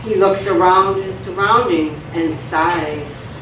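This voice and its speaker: A woman's voice: on the low side, takes it slowly, a bit bright. A woman reading scripted narration for a live radio theatre drama. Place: stage performance in a roomy hall. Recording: echoey hall, distant mic, noisy.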